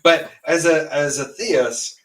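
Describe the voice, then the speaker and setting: A man's voice: a little high, slow, fairly bright tone, recorded from a distance. A man telling a story with much laughter and animation as a guest in a casual stream chat, on a video call.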